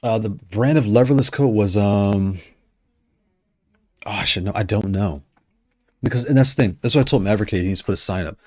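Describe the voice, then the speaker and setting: Deep-voiced man rambling on stream. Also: fairly quick, pauses often, no room sound, clean studio sound. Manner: rambling, self-correcting, conversational. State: losing his voice. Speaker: streamer discussing controllers. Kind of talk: casual livestream chat.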